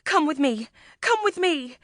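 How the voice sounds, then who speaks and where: A woman's voice: sits high, expressive, measured pace, a bit bright, no echo, studio quality, pitched above the performer's own voice. A woman voicing a frightened, frantic society lady in an audiobook, breathless and crying out. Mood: panicked.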